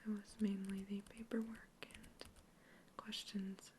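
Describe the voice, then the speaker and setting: A soft-whispering researcher, played by a woman, politely quizzing a captive alien in a sci-fi ASMR roleplay. Her whisper stays even and flat in pitch, curious and reassuring, with faint noise behind it. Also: slow, natural voice. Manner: gentle, curious, reassuring questions.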